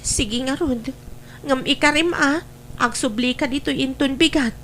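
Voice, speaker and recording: A woman acting a high-pitched, pleading sweetheart. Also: unhurried, a bit bright, a little hiss or hum. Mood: pleading, affectionate. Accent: Filipino, Ilocano. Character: pleading, clingy secret sweetheart of a married man. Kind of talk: Ilocano audio drama acting.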